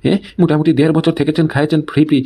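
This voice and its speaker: A man's voice: a little high, level tone, rapid-fire, warm tone. A man voicing an exasperated landlord chasing rent in an amateur comedy cartoon, complaining and sarcastic.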